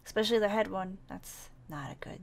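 A woman's voice: medium pitch, a little fast, gravelly, lightly acted. A woman playing a naive, curious survivor in a zombie game roleplay, with a playful, questioning manner. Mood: casual, unsure. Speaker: roleplay player.

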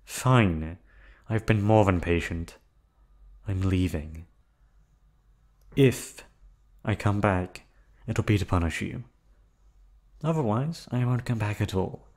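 A man voicing a menacing yandere captor in an ASMR roleplay, with a put-on accent and a calm, coldly threatening delivery. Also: on the low side, slow, pauses often. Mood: cold menace. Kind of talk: ASMR roleplay audio drama.

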